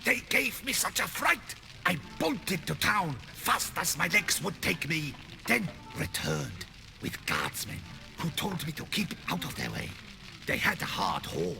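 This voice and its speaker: A rustic fisherman from a fantasy game with a gravelly, high-pitched voice that swings wildly. He rambles slowly and dramatically, drawing out his story over background music. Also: sing-song, bright, forceful tone, full character voice. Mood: spooked. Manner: slow, long-winded, dramatic storytelling. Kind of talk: fantasy video game acting. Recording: music playing behind.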